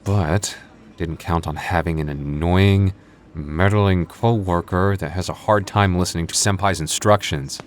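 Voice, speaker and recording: A man plays a young vampire working a store night shift, with an extremely deep male voice. He banters sardonically and stumbles over his words when flustered. The delivery is teasing, in the style of an ASMR roleplay audio drama. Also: slight background noise. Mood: grudging, sardonic. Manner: hesitant stammering, sardonic banter.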